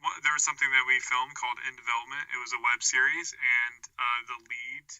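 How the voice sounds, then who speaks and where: A man speaks as an interview guest and show creator. His voice is clear and very bright, recorded dry. He chats casually, piecing thoughtful answers together as he talks. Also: medium pitch, fairly even intonation, brisk, dry recording, low-quality recording. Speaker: podcast creator being interviewed. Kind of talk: casual chat.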